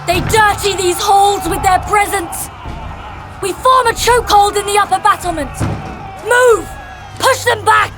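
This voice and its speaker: A woman playing a fierce, devout warrior knight in a dark fantasy audio drama, narrating with solemn, deliberate intensity. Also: extremely high pitch. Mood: shouted command. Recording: voice over loud dramatic music.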